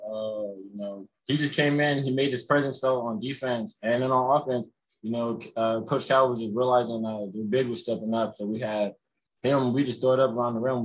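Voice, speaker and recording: A young man, a college basketball player, answering reporters on a video call after a game, relaxed and full of "you know" fillers. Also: mid-range voice, breathy edge, rough recording. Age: young adult. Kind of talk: postgame press conference answers. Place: indoors in front of a logo backdrop.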